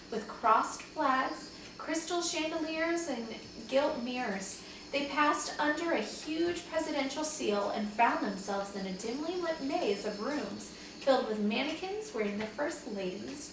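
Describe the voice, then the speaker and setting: A woman reading a children's story aloud like a teacher to young pupils, expressively acting out the dialogue. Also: sits high. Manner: animated, dramatizes dialogue for young listeners. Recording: very echoey room, distant mic, faint noise.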